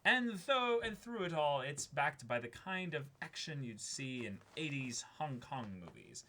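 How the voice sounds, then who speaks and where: A young man with a bright, forceful voice and very lively intonation reads a letter aloud. He slips in casual asides as a show co-host and sometimes trips over a word and corrects himself. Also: fairly high pitch, measured pace, a little hiss or hum. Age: young adult. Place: small indoor room, seated before a backdrop.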